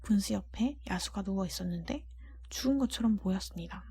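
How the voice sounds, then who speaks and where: A woman reading a storybook aloud as ASMR narration in a breathy whisper. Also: mid-range voice, fairly quick, dark tone.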